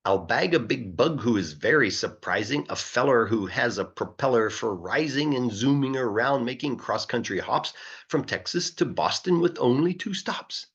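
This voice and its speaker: A man giving an expressive, playful read-aloud of rhyming children's verse, as an English teacher would. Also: mid-range voice, moderate pace, breathy edge, highs cut off. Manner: playful, emphatic delivery of verse.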